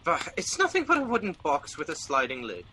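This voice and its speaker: Man acting fussy exasperated gentleman, radio drama, bright forceful voice, highish pitch, declamatory. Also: wildly swooping pitch, fairly quick, slight background noise, heavily acted. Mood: dismissive.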